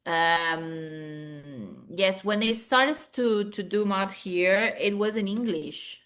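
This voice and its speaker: A woman's voice: mid-range voice, takes it slowly, a bit bright. A woman from Peru who grew up in Italy, a guest in casual podcast chat, enthusiastic and often repeating her words. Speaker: podcast guest, makeup artist.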